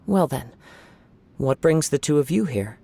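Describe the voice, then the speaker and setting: A man playing a calm, courteous young wandering swordsman in a video game, gentle and reflective in formal wording. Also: on the high side, creaky, breathy edge, full character voice.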